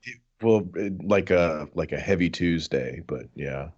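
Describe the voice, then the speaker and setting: A man's voice: deep voice, expressive, measured pace, a little mellow, slightly rough, highs cut off. A man co-hosting a podcast in casual comedic chat, explaining absurd things in a mock-earnest, matter-of-fact way. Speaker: podcast co-host.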